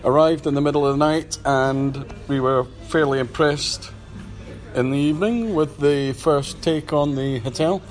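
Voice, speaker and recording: A man's voice: a little high, a little slow, faint music behind. A man hosting a casual podcast chat, recorded on location at a hotel breakfast. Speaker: podcast host.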